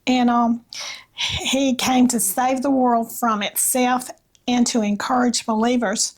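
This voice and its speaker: Woman, Southern US accent, fairly high pitch, unhurried slow pace, slight rasp, halting, casual devotional talk. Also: level tone. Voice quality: slightly raspy. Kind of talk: casual devotional chat with read-aloud passages.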